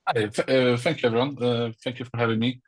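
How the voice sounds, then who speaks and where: A man from Poland with a Polish accent, introducing himself on a video call in a halting way, with many restarts. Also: medium pitch, steady tone, breathy edge, no room sound. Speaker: entrepreneur guest.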